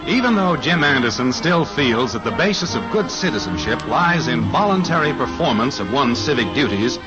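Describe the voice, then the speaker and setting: A man narrating a 1950s radio sitcom in a measured, wry tone. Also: mid-range voice, big pitch swings, muffled recording. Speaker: show narrator. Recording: old radio broadcast over music.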